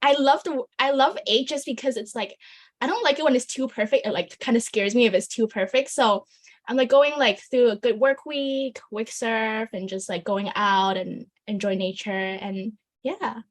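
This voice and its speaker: A fairly high-voiced woman co-hosts a casual podcast. She sounds bubbly and quick, with lots of filler "like". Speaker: podcast co-host.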